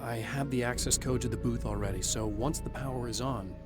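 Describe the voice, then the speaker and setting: An actor playing a weary married writer who answers in a dry, exasperated, deflecting way. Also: male voice, mid-range voice, fairly quick, over music, natural voice. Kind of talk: video game cutscene acting.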